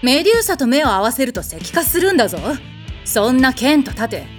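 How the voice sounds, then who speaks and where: A woman's voice: sits high, big pitch swings, brisk, faint music behind. A woman playing a haughty, blunt warrior goddess in a comedic audio drama, speaking in an imperious, curt way with a protective streak. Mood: haughty, irritated. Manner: imperious, commanding, curt masculine phrasing.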